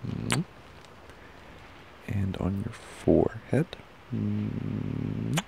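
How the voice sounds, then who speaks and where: A man doing an intimate boyfriend ASMR roleplay close to the mic, murmuring softly, drowsily and affectionately. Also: fairly even intonation, very slow, a little hiss or hum, poor audio. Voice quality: low, gravelly, creaky. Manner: soft, drowsy, affectionate murmuring, often breathy half-whisper. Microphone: close ASMR microphone.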